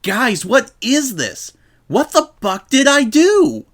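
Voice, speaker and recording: A brash young pegasus mare from a cartoon-style story reading, acted out by a man. The voice is pushed up high and comes out loud and indignant, full of defensive exclamations. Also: big pitch swings, slow, full character voice. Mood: outraged.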